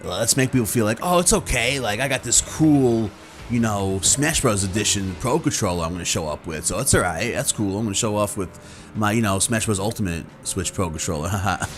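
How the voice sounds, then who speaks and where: A man's voice: on the low side, animated, a little fast, soft background music. A man talking casually and conversationally to his viewers as a video host, rambling and acting out imagined reactions. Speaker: YouTube host.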